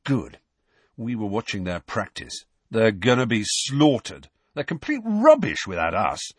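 A man's voice: fairly low pitch, very animated, measured pace, breathy edge, dry recording, heavily acted. A man voicing a cheeky, restless teenage boy prankster in an audiobook, breezy and blunt. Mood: scornful.

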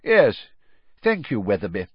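A man's voice: on the low side, wildly swooping pitch, measured pace, slightly rough, dry recording, dull audio, full character voice. A man voicing a stiff, elderly official in an audiobook, dry, formal and impatient. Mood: brisk.

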